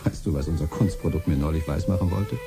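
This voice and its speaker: Deep-voiced impatient schemer. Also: male voice, fast talker, loud music behind the voice. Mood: irritated. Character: Tom, impatient scheming husband and adoptive father. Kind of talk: 1970s German radio drama acting. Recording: slightly noisy 1970s radio recording with hum.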